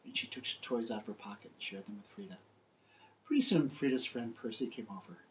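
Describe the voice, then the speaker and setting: A man's voice: medium pitch, lively intonation, a little fast, poor audio. An older American man speaks in a mid-range voice as a children's author addressing young readers. His phrasing is simple and emphatic, with repetition for small listeners. Some noise sits behind him in an indoor room. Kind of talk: friendly scripted presentation and storytelling for young children.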